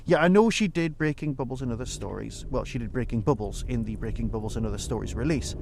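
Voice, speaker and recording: A man's voice: mid-range voice, animated, fast, creaky, background noise. A man hosting a solo podcast in a casual, rambling way, with wry, self-deprecating asides. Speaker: podcast host and reviewer.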